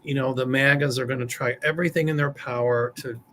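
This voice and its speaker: A man with a slightly raspy voice, chatting and telling stories with jokes as a psychic on a live show. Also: mid-range voice, steady tone, fast, a bit bright, slight background noise. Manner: chatty, joking, storytelling. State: slightly raspy after surgery. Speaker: psychic, show guest-regular. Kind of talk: casual chat on a live stream.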